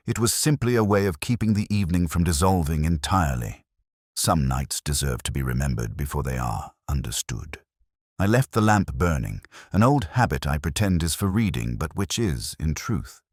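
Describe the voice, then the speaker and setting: A man playing a weary, melancholy older police detective, narrating an audio drama in a measured, reflective, literary way. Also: a bass voice, very animated, a little mellow, dry recording, clean studio sound, full character voice. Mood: brooding, reflective.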